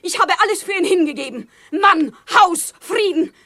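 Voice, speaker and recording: A woman's voice: very high voice, expressive, normal speed, a bit bright, dry recording, clean studio sound. An actress in a German radio drama playing a fervent, obsessive woman of the common people with a solemn, impassioned delivery. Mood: impassioned, jealous.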